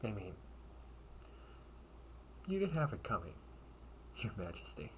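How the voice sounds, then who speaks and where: A man acts out a loyal young knight in an intimate audio roleplay. He talks very slowly in a fairly low voice, formal yet gently teasing, like a caring old friend. Some hiss sits behind his halting words. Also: huge pitch swings. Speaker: amateur audio roleplay performer.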